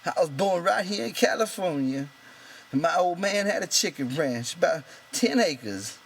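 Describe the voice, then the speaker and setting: A man's voice: high voice, animated, normal speed, a little hiss or hum, pitched above the performer's own voice. A man voicing a proud, bitter, lonely stable hand in an audiobook, talking in an insistent, rambling colloquial way. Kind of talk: character voice in an audiobook reading.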